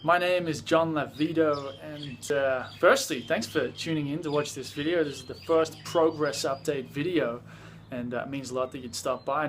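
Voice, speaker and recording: A young man with an Australian accent talks to a handheld camera in a relaxed, rambling vlog style. His voice sits a little high and is slightly hoarse from a cold, with a faint hum of background sound. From Sydney, Australia. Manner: relaxed and chatty, many fillers and restarts. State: a bit hoarse from being sick. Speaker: music producer vlogger. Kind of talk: casual vlog talk to camera. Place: home in the mountains, moving between outdoors and indoors.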